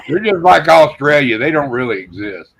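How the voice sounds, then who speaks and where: A man's voice: fairly high pitch, very animated, normal speed, creaky, a bit bright, poor audio. Folksy older man telling stories. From Texas. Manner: rambling storyteller with folksy quoted dialogue. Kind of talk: casual chat.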